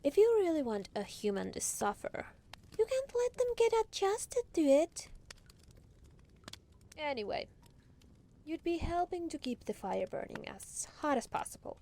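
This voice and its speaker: A woman's voice: high voice, sing-song, unhurried, vocal fry, pauses often, dark tone, heavily acted. A woman playing a proud, teasing demon lord in a roleplay ASMR monologue, casually regal and playfully menacing.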